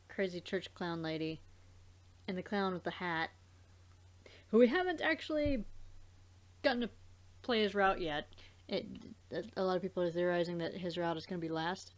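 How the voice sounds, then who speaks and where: A woman hosting a let's play, chatting casually in a rambling, halting way and circling back mid-thought. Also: lowish voice. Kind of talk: casual gaming chat.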